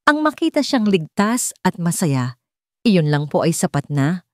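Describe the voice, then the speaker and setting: A woman's voice: on the low side, very animated, measured pace, darkish tone, no echo. A woman plays an elderly Filipino housemaid in an audio drama. She is humble, respectful and devoted. The sound is close and dry. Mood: humble, sincere.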